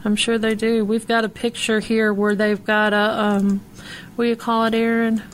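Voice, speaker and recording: A woman hosts a podcast in relaxed chat, with dry humor and easy laughs. Her voice sits a little high. A faint hiss runs underneath the recording. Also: moderate pace. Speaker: podcast co-host. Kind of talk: casual chat.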